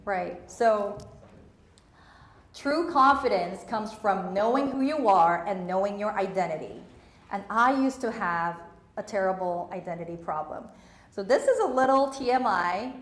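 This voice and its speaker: Emphatic woman speaker in an echoey hall. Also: medium pitch. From Korea, raised in Malaysia and Singapore. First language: Korean. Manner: emphatic, repeats key phrases, acts out voices in anecdotes. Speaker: public speaking coach giving a talk. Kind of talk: motivational talk to an audience.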